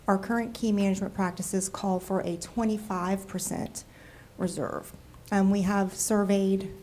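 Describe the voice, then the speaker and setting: A woman with a cold, nasal and froggy, presenting a city budget to council in a measured way with frequent ums. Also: mid-range voice, mellow, a little hiss or hum. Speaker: city deputy budget director. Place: council chamber.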